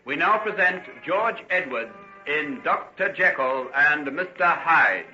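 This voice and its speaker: A man's voice: on the high side, animated, measured pace, full character voice. A man announcing formally and theatrically in old-time radio style. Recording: dull vintage radio recording. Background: loud music.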